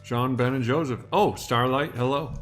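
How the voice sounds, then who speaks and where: A man's voice: medium pitch, normal speed, faint music behind. Joking man hosting a live stream. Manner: playful, deadpan jokes, greets viewers by name.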